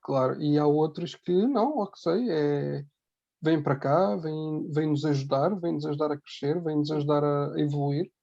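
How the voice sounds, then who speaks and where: Male interview guest, European Portuguese accent, fairly high and mellow voice, conversational and repetitive, on slightly muffled lo-fi audio. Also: normal speed, gravelly. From Ribatejo, Portugal. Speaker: interview guest, emigrant hospital worker. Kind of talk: casual conversational testimony.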